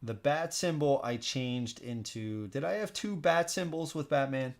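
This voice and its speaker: Casual man thinking out loud while gaming. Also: mid-range voice, a little slow, creaky. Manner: informal, thinking out loud, repeats himself. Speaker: streamer hosting a solo board game playthrough. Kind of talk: casual live stream commentary while playing.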